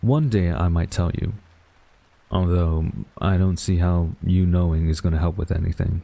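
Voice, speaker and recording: A man's voice: low voice, fairly even intonation, creaky, mellow. A man acting a young university student in a romantic audio roleplay, a defensive, secretly smitten rival who grumbles and gets flustered. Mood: weary, reluctant. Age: young adult. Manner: flustered, grumbling, halting denials.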